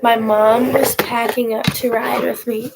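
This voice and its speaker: Stuffy-nosed teen girl rambling slowly. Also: fairly high pitch, little pitch movement, vocal fry, heavy background noise. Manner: rambling, many restarts and fillers. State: stuffy from a cold. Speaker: horse vlogger. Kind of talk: casual storytime to camera. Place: at home, indoors.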